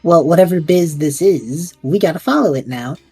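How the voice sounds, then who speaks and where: A woman's voice: sits low, normal speed, slightly rough, no room sound, soft background music, exaggerated voice. A woman playing a reckless young male adventurer. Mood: excited. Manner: excitable, joking, reckless. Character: eager scatterbrained young male adventurer hero. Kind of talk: fan audio drama.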